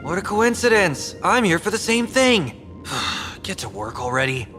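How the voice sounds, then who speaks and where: A man's voice: sits high, sing-song, a little slow, bright, forceful tone, faint music behind, exaggerated voice. A man playing an impatient, sarcastic young student in an anime-style game, complaining in an exasperated manner. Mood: impatient, dry.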